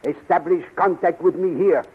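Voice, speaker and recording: An actor playing a shrewd, stern princely commander in a 1930s radio serial, decisive and formal, with a husky voice. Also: male voice, high voice, normal speed. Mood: commanding. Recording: tinny, narrow 1930s radio recording.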